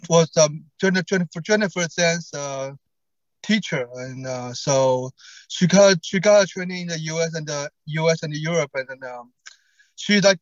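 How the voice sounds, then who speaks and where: A man's voice: fairly high pitch, no room sound. Man who stutters, muffled call audio. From Taiwan. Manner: repeats words and restarts, stutters. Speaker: co-founder of stuttering association. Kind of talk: conference talk.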